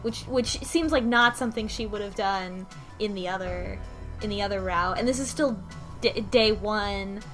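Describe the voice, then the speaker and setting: A woman's voice: mid-range voice, fairly bright tone, soft background music. A woman casually recapping and discussing a story, often stopping to correct herself. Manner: halting, self-correcting. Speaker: podcast host.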